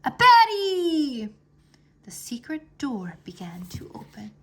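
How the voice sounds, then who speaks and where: A woman reading a children's fantasy chapter book aloud as an expressive storyteller. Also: mid-range voice, a little slow. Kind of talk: expressive scripted narration.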